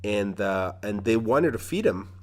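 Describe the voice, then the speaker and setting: A man's voice: lowish voice, lively intonation, unhurried, darkish tone, slight background noise. A man from Mexico hosting a podcast interview, talking in a loose, rambling way with lots of restarts. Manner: rambling, many restarts and fillers. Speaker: podcast host. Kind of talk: casual conversational interview.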